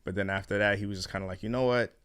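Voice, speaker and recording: A man's voice: fairly low pitch, steady tone, racing, fairly bright tone, smooth, no echo. A young man born in Ghana and raised in Canada, a guest in a casual interview, talking in a laid-back, slangy way and swearing freely.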